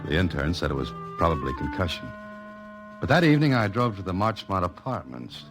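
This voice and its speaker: An American actor playing a wry, cool novelist-sleuth in a 1940s radio drama, with dry, clipped delivery. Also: male voice, deep voice, sing-song, fairly quick. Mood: wry, intent. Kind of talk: 1940s radio drama acting and first-person narration. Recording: old radio recording with music behind.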